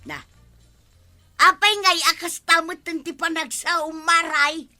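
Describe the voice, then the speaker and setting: Blunt, excitable village matron: very sharp, high, exclamatory, Ilocano accent, radio comedy. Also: female voice, slow pace, faint music behind, heavily acted. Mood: scolding.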